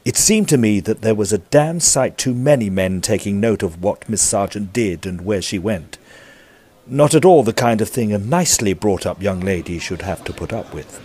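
An actor with a British accent playing a peevish, smitten Edwardian gentleman ghost hunter, narrating formally in an audio drama. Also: fairly low pitch, expressive, normal speed, husky. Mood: flustered, peeved. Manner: formal, stiffly proper, fussy.

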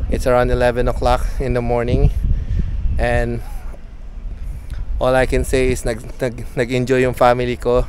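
A man's voice: mid-range voice, moderate pace. A man in his forties with a Filipino accent, talking casually to viewers in a travel vlog, mixing languages and calling them guys, recorded on a handheld camera at arm's length on an outdoor balcony. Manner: relaxed, chatty, addresses viewers as guys. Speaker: travel vlogger. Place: outdoor rooftop balcony on a hill. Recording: outdoor ambience with clear background noise.

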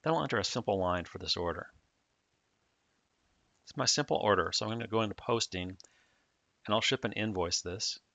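Male software demo narrator, lowish pitch, crisp forward tone, plain step-by-step delivery. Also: normal speed, pauses often, dry recording. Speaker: software demonstrator. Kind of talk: scripted tutorial narration.

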